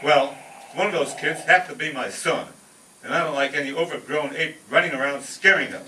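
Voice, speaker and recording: A man playing a belligerent, protective father in an old-time radio comedy, loud, emphatic and combative. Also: a little high, brisk. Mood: challenging. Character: belligerent, protective neighbourhood father spoiling for a fight. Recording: noisy, roomy, distant stage recording.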